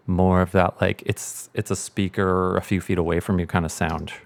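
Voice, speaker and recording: A man with a fairly low voice walks through a software demonstration. He talks casually, thinking aloud as he goes, in a very dry recording with no room sound. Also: flat delivery, normal speed, gravelly, no echo. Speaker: sound mixer giving a tutorial.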